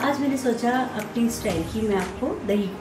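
A woman's voice: fairly high pitch, normal speed, reverberant, music in the background. A woman from Pakistan giving chatty step-by-step cooking instructions in her home kitchen, often checking in with her viewers. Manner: chatty, with many fillers and "thik hai" checks. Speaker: cooking vlogger.

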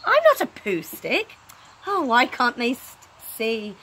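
Indignant little storybook stick man, woman acting, high-pitched, pleading, forceful, emphatic. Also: wildly swooping pitch, takes it slowly, exaggerated voice. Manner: emphatic, protesting, exclamatory.

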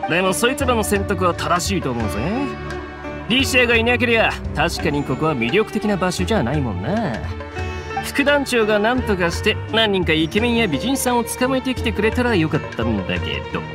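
A man's voice: high voice, sing-song, a little fast, over music. An actor playing a good-natured, slightly awkward young male mercenary in a video game, speaking in casual comradely banter. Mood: wry. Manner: casual, rough comradely speech.

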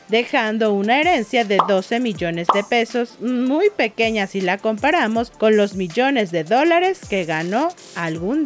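A woman reading a scripted celebrity gossip voice-over in a chatty, playful way. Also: medium pitch. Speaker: narrator.

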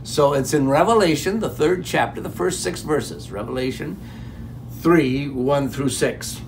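A man in his sixties, a pastor, preaching an animated, folksy sermon from a room at home, often stopping to ask "okay?". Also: fairly high pitch, unhurried, slight background noise. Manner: animated, gesturing with raised hands. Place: home room before a decorated fireplace.

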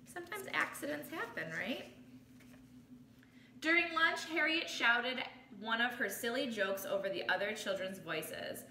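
Teacher lady reading a kids book, bright highish voice, explains stuff, roomy living room sound. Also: a little slow. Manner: expressive, explanatory, addressing children. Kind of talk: children's picture book read-aloud with commentary. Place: small living room, indoors. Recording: roomy home recording with background noise.

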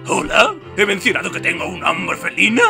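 A man voicing a bouncy, boastful, exuberant tiger in a cartoon dub, with a gravelly voice and a Castilian Spanish accent. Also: high voice, moderate pace, over music. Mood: boastful, fickle. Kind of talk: cartoon fandub audition.

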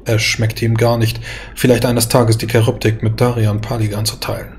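A man's voice: fairly low pitch, level tone, moderate pace. A man reading a scripted, dramatic fantasy story as its narrator.

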